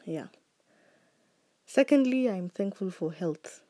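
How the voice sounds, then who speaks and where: A woman hosting her own podcast, talking slowly and earnestly about what she is grateful for, her voice still recovering from being sick. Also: mid-range voice, lively intonation. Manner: slow, heartfelt and earnest, with soft trailing phrases. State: recovering voice after illness. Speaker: podcast host. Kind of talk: reflective solo podcast monologue.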